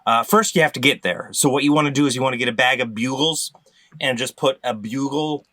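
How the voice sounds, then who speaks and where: Bright-voiced man, deadpan and dry-miked. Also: medium pitch, fairly quick, dry recording. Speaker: quiz panelist. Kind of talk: improvised absurd comic explanation.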